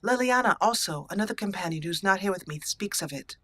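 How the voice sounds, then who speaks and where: A woman voicing a proud, dignified exiled noblewoman warrior in a roleplayed video game, speaking formally and deliberately. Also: mid-range voice, lively intonation, bright, forceful tone. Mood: earnest, persuasive.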